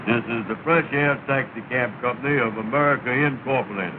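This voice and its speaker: An actor playing a slow, self-important man who runs a taxi company, in 1930 film acting. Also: medium pitch, lightly acted. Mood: bossy. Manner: pompous, drawn-out delivery. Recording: scratchy, tinny 1930 film soundtrack with hiss.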